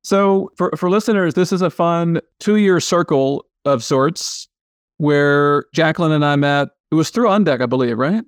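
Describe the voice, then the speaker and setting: Casual male podcast host, slightly high voice. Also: unhurried, no echo, studio quality. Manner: casual, hesitant, many restarts and tag questions. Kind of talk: casual interview chat.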